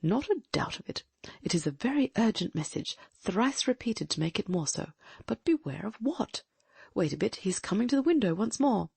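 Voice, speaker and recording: A woman voicing a keen, commanding male detective with a brisk, inquisitive, exclamatory manner in an audiobook. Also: medium pitch, sing-song, gravelly, a little mellow, husky, dry recording, exaggerated voice. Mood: intrigued.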